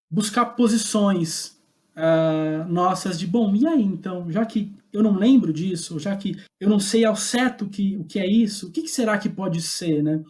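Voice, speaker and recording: A man's voice: high voice, darkish tone. A man with a Brazilian accent speaking reflectively as an interview guest, tentative and searching for his words.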